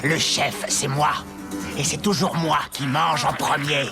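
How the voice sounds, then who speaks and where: A man playing an irritable, quibbling animal predator in an animated cartoon gang. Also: on the high side, a little slow, vocal fry. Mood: irritated, commanding. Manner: argumentative, grumbling. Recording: music under the voice.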